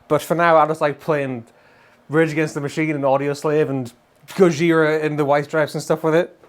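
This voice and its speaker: A man in his thirties giving an excitable, informal gear demo as a guitar reviewer. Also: a little high. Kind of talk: enthusiastic casual product demo. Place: indoor guitar studio room. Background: electric guitar playing.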